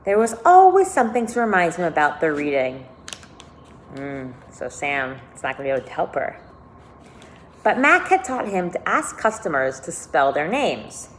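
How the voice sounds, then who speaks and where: A woman teacher reading a children's novel aloud expressively and pausing to think aloud for her listeners. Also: fairly low pitch, wildly swooping pitch, slight background noise. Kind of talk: read-aloud storytelling with commentary.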